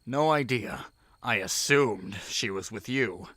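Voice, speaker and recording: A man's voice: on the low side, slow pace, sharp tone, no echo, heavily acted. A man playing a weary, serious heist crew member in an audio drama, speaking in a dry, understated way.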